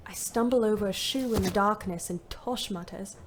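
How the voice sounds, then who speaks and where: A woman's voice: medium pitch, slight background noise, natural voice. An actress playing a weary, bitter young ambulance driver, narrating her wartime diary expressively in an audio play. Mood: weary, bleak. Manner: measured, literate, expressive. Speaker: narrator and lead character.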